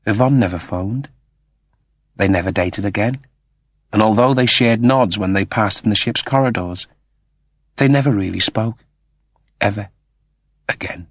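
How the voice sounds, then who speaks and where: A man's voice: on the low side, unhurried, long pauses, dry recording, studio quality. A man narrating scripted science fiction as a dramatic audiobook reader.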